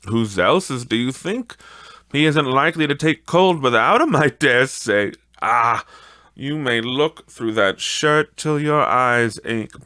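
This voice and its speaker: Man acting grasping laundress woman, sneering rhetorical questions, lifted pitch, storybook character, dry close sound. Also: sharp tone, no echo. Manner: emphatic, sneering rhetorical questions in colloquial speech. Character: brazen scavenging laundress woman, scornful, grasping, defiant.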